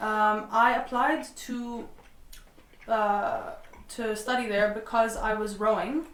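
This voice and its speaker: A woman in her twenties, a podcast guest, telling her story in a quick, animated, chatty way. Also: on the high side. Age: 20s. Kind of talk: casual conversational storytelling. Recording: echoey room, mic at a distance, faint noise.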